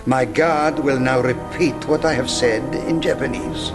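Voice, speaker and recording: A man's voice: medium pitch, fairly even intonation, lightly acted. Cold, pompous villain played by a man, music swelling. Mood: cold menace. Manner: formal, measured, menacing. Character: cold, pompous male villain, menacing mastermind. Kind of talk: radio play acting. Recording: loud film score behind voice.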